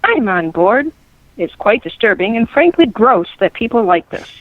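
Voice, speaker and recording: A woman's voice: on the high side, big pitch swings, normal speed, no echo. Middle-aged woman reading aloud, slightly muffled. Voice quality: clear, fluent reading. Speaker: guest reader. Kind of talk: reading online comments aloud.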